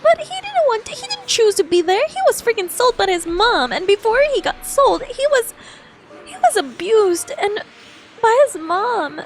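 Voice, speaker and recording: A woman's voice: very high voice, very animated, normal speed, soft background music. A woman streaming her reactions to a game story and reading dialogue aloud, emotive, exclamatory and sympathetic.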